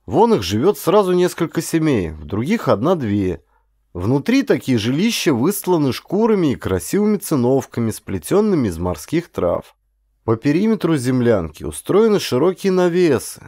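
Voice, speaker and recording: Male narrator, expressive adventure storytelling, mellow timbre, dry close recording, drawn-out phrases. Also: mid-range voice, no room sound. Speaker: audiobook narrator, actor. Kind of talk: expressive scripted narration of a historical adventure novel.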